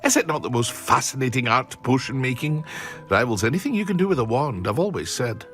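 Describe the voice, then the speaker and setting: A man's voice: mid-range voice, expressive, brisk, fairly bright tone, soft background music, natural voice. An actor playing an eager, talkative male potion shopkeeper in a video game. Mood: enthusiastic. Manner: eager, talkative, fond of his craft.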